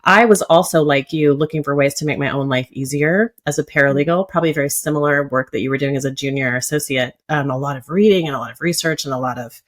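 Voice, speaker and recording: A woman's voice: sits low, quick pace, few pauses. A woman hosting a podcast interview, chatting casually in a friendly, encouraging way. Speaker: podcast host.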